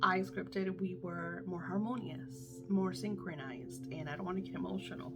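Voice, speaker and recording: A woman's voice: fairly low pitch, moderate pace, loud music behind the voice. A middle-aged woman coaching her viewers in casual, candid talk, emphatic and swearing freely, her voice a little worn as if she is losing it. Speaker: manifestation coach.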